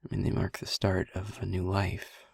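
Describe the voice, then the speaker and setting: A man playing a brooding, tender vampire boyfriend in an intimate roleplay audio drama, speaking softly and haltingly in a breathy voice that often nears a whisper. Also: deep voice, monotone, dry recording, clean studio sound, heavily acted. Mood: somber, reflective. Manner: halting, soft, hesitant, with long pauses. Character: brooding, tender male vampire boyfriend, haunted by his turning.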